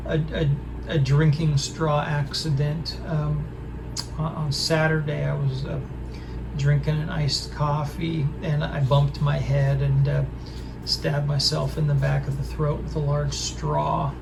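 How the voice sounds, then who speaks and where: Hoarse man, halting and raspy. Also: fairly high pitch, flat delivery, background noise. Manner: halting, hesitant, full of pauses. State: hoarse from a throat injury. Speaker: record collector vlogger. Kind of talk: casual record haul show-and-tell.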